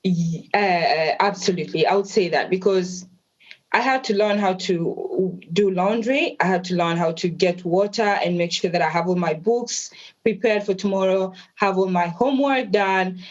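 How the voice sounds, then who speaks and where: A woman, telling her life story as an interview guest in a reflective, measured way. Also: medium pitch, lo-fi sound. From Tanzania.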